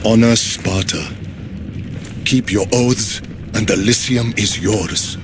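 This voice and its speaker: An actor playing an honorable, guilt-ridden Spartan warrior, solemn and anguished, in a video game drama. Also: male voice, on the low side, steady tone, takes it slowly, background noise. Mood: solemn remorse.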